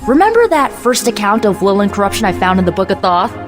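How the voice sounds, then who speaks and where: A woman's voice: medium pitch, sing-song, fast talker, natural voice. A woman voicing a brash, sly young sorceress in a video game, casual and persuasive, with sardonic delivery. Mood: excited. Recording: music bed behind the voice.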